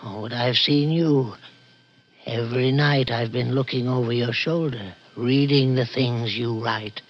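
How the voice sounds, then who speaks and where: A man playing a weary, gentle, very old prison guard, soft-spoken and wistful, in 1960s radio drama style. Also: medium pitch, steady tone, unhurried, lightly acted. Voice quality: creaky, husky old voice. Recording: dull old radio recording.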